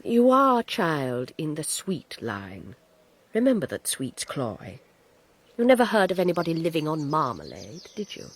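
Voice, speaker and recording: Husky, swooping, scheming society mother. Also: deep voice, wildly swooping pitch, exaggerated voice. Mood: imperious. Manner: imperious, arch, deliberate. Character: scheming, imperious society mother, coolly malicious. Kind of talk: radio period drama acting.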